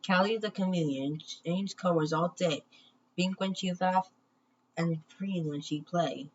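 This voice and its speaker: A woman reading a children's story aloud in measured short phrases with pauses, on a muffled, noisy recording. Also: fairly low pitch, a little mellow. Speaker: children's book reader.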